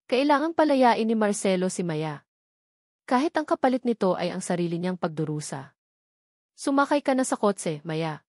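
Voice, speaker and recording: A woman's voice: medium pitch, darkish tone, no echo, studio quality. A woman reading a dramatic scripted love story as its narrator.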